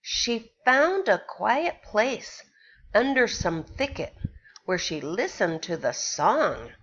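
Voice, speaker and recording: A woman, a pre-K teacher, reading a picture book aloud to young children and pausing to ask them questions. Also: medium pitch, big pitch swings, fairly bright tone, highs cut off. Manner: slow, sing-song storytelling with questions to the children.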